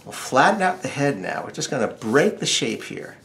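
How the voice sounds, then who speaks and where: A man's voice: medium pitch, very animated, moderate pace, creaky, fairly bright tone. A man teaching a casual step-by-step drawing tutorial, with wry, joking asides. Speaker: drawing instructor.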